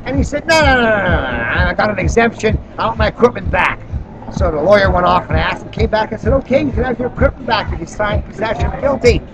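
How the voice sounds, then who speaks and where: A man hosting an informal on-the-spot interview, telling stories in an animated way and acting out quoted dialogue. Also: high voice, quick pace, a crowd in the background, low-quality recording. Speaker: interviewer and activist introducing a guest. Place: busy expo venue. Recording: music behind the voice. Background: music.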